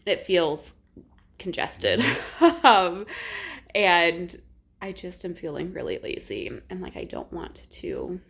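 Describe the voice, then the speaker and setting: A woman's voice: fairly low pitch, big pitch swings, slow pace, vocal fry, a bit bright. Chatty young woman, lots of "like". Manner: chatty, rambling, many "like"s and "um"s. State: congested. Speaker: book vlogger. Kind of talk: casual reading vlog chat.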